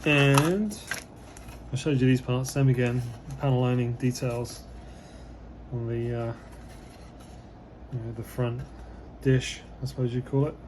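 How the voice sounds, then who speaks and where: A slightly hoarse man, losing his voice, giving relaxed, rambling commentary as he unboxes a model kit, with many fillers. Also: medium pitch, measured pace, noisy background.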